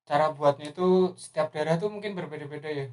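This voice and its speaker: A man's voice: on the high side, quick pace. A young man from Indonesia hosting a casual podcast interview, asking friendly questions with frequent backchannels. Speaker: podcast host.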